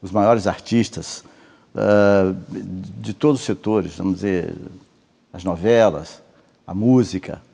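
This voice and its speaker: Male interviewee, husky low voice, long room reverb. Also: steady tone, slow pace, dark tone. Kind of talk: documentary interview.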